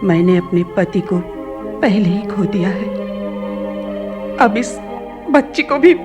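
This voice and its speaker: An actress playing an anguished middle-aged widowed mother in a Hindi radio play, halting and polite in her sorrow. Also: mid-range voice, animated, over music. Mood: anguished pleading.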